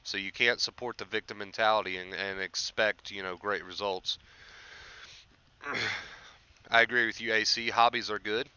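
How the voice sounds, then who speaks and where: A man's voice: fairly low pitch, fairly even intonation, moderate pace, long pauses, pushed and bright, clean tone, highs cut off. A young man hosting a motivational livestream, speaking emphatically and informally with lots of repetition, his voice a little tired from overuse. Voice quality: voice tiring from overuse. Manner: emphatic, repetitive, often checks with "right?". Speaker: livestream host, anxiety recovery coach. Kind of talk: motivational rant to live chat.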